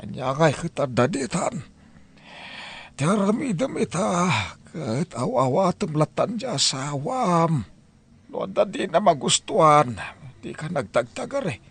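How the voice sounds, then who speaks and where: Guy doing husky old grandpa, ilocano accent, slow scolding advice, highish voice, radio drama. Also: very animated, a bit bright, heavily acted. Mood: reproving. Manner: admonishing.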